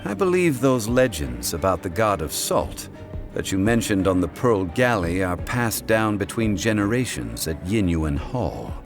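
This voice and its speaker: Deep, stern, formal scholar. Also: male voice, sing-song, full character voice. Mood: calm. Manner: measured, formal, old-fashioned phrasing. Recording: game audio with music behind.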